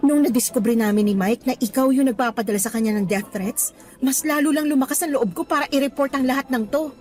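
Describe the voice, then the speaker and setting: A woman's voice: high-pitched, a little fast, darkish tone, natural voice. An actress playing a firm, exasperated young female teacher in a radio drama, lecturing sternly in Tagalog mixed with English. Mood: indignant.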